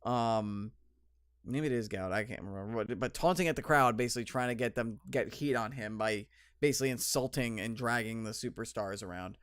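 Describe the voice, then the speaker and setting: Young male podcast host, under the weather, smooth mid voice, chatty and full of ums, dry close sound. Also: mid-range voice, a little fast, fairly bright tone, dry recording. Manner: rambling, lots of ums and restarts, enthusiastic. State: slightly sniffly with a cold. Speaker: podcast host reviewing wrestling. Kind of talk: solo casual podcast review.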